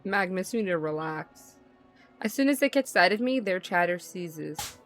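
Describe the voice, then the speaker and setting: A woman's voice: fairly low pitch, lively intonation, normal speed, a bit bright, faint music behind. A woman livestreamer reading visual novel narration aloud and reacting in a playful, slangy way. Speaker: livestream gamer.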